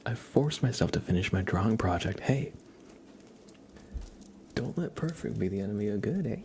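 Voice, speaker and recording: A man hosting an ASMR livestream, chatting casually and playfully in a soft voice that often slips into whispers. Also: on the low side, slight background noise. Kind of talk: ASMR, casual chat to viewers and a pet cat.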